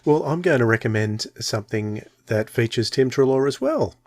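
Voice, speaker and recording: A man's voice: medium pitch, animated, moderate pace. A man co-hosting a podcast in casual, conversational chat. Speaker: podcast co-host.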